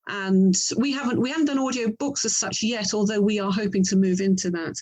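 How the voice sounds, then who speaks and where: A woman's voice: medium pitch, steady tone, fairly quick, darkish tone. A middle-aged woman with an English accent talking casually as an interview guest, thoughtful and chatty. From England. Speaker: writer and audio drama producer, interview guest.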